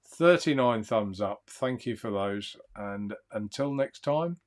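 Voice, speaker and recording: A man hosting a live stream, chatting in a calm, soothing, easygoing way and reading out viewer comments. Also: on the low side, slow. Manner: rambling, easygoing, chuckles often. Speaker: livestream host.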